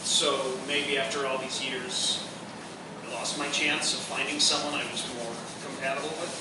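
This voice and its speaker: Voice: mid-range voice, level tone, moderate pace, natural voice. An actor in a live radio drama, playing a heartbroken, stubborn romantic who clings to the idea of a soulmate. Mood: doubtful, hurt. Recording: very echoey, distant live recording, clear noise.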